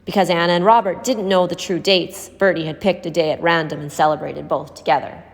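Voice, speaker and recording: A woman's voice: fairly low pitch, steady tone, brisk. A woman reading a scripted story aloud as an audiobook narrator. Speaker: audiobook reader. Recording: echoey hall-like reverb.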